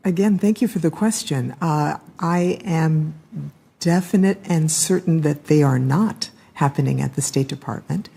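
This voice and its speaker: An older American woman giving formal testimony as a government witness, measured, careful and firm in her answers. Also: low voice, creaky, warm tone, breathy edge. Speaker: ambassador and chief diversity officer testifying as witness. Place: congressional hearing room.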